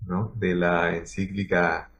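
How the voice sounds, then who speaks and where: A man, calmly telling about his own career. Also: deep voice, takes it slowly. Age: 70s. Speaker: cartoonist guest. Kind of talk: personal storytelling.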